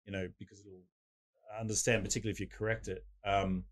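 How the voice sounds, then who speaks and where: A man with a deep voice, a guest in a casual interview, explaining technology at length with everyday analogies. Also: flat delivery, fast talker. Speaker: guest, tech business owner.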